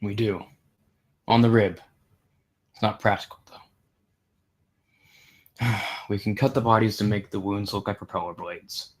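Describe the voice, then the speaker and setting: A man's voice: lowish voice, steady tone, a little slow, pauses often, close to an everyday voice. An actor at a table read playing a grimly practical man covering up deaths at sea. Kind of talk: screenplay table read acting.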